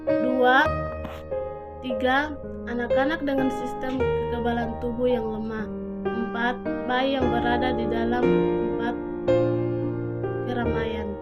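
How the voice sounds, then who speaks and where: A woman's voice: on the high side, flat delivery, loud music behind the voice. A young woman, a nursing student, reading a health lesson and often stumbling and restarting words. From Indonesia. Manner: halting, frequent restarts on words.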